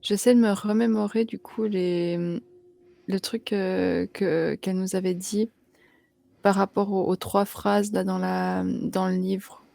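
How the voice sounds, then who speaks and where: A woman's voice: medium pitch, little pitch movement, a little slow, vocal fry, mellow, dry recording. A woman taking part in a tabletop roleplaying game, improvising casually and thinking aloud with hesitation.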